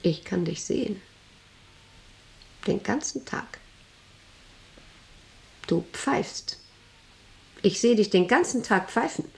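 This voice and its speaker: An actress playing a domineering, rambling factory director in a radio drama, speaking in a condescending, probing way with abrupt commands. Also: female voice, medium pitch, animated, slow pace, gravelly, long pauses, a little hiss or hum. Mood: commanding, restless. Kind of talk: German radio drama acting.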